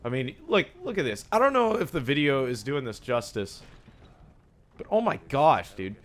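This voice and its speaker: A young man with a raspy, sore-sounding voice, casually commenting on his gameplay, often breaking into excited yelps between low mumbles. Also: moderate pace. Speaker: gaming YouTuber.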